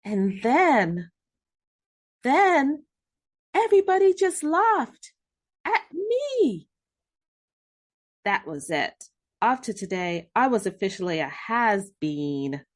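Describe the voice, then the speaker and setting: A woman narrating a children's storybook, reading expressively with drawn-out emphasis and dramatic pauses. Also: on the high side, big pitch swings. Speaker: read-aloud host narrating children's books. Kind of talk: scripted children's storybook narration in first person.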